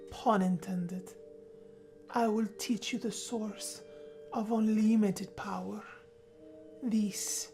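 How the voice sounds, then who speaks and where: A woman's voice: medium pitch, mellow, voice pushed down. A woman voicing a menacing, possessive vampire master in a game role-play, speaking ominously in half whispers. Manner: slow, ominous, breathy half-whispered delivery.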